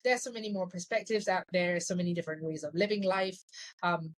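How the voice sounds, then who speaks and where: A woman's voice: on the low side, steady tone, quick pace, no echo. Chatty young woman, dry sound. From Singapore. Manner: chatty, many fillers like "like" and "you know". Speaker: podcast guest. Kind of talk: casual interview chat.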